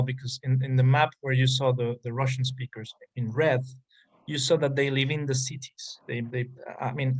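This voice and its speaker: A man's voice: mid-range voice, poor audio. A man giving an academic lecture and answering audience questions, with frequent fillers and restarts, heard over a video call. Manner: hesitant, many restarts and fillers. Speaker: guest lecturer. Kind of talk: academic talk and Q&A. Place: online conference call.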